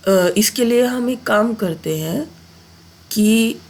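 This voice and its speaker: A woman's voice: a little high, slow pace, vocal fry, darkish tone. A woman with a sore throat and a cold, teaching a programming lesson in a casual way and often asking her students "okay?" with a lift in her voice. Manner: keeps checking in with a rising "okay?". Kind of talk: casual Hindi coding lesson.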